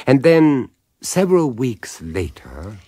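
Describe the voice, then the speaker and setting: A slow, measured narrator for a supernatural radio tale. His mid-range voice moves expressively and dramatically, recorded very dry. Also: mid-range voice, huge pitch swings, mellow, husky, no room sound, exaggerated voice. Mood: measured, suspenseful. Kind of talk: scripted storytelling narration, radio horror drama.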